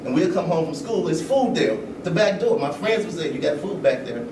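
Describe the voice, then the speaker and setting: A man giving a personal account in an informal discussion, in short, halting phrases with pauses. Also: fairly high pitch, animated. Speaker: panel speaker. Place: large room at a live event. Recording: roomy, distant mic, clear background noise.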